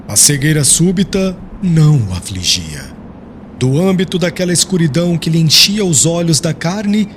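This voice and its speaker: Solemn male narrator with sweeping intonation. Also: a little high. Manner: solemn, formal. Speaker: audiobook narrator. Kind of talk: scripted dramatic literary narration. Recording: faint background noise.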